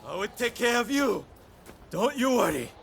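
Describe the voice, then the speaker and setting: A man's voice: very high voice, big pitch swings, normal speed, vocal fry, a bit bright, slight background noise, exaggerated voice. A man playing a proud, defiant young rebel swordsman in a video game cutscene, bold and intense.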